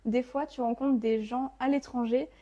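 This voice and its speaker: Higher-pitched young Frenchwoman telling her story. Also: steady tone, normal speed, mellow, muffled recording. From France. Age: 20s. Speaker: podcast guest. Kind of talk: casual personal storytelling.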